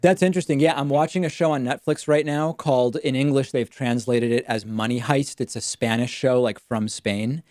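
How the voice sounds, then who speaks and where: A man hosting a call-in talk show, raised in Argentina, talking briskly and directly with callers. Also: mid-range voice, fairly even intonation, a little mellow, dry recording, clean studio sound. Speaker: talk show host taking calls. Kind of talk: casual call-in radio talk.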